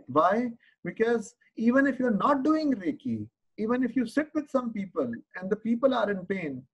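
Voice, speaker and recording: A man's voice: high voice, low-quality recording. A man is teaching in a live online Q&A. He explains each point earnestly and patiently, and doubles back on words while he searches for the next one. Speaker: Reiki teacher answering questions.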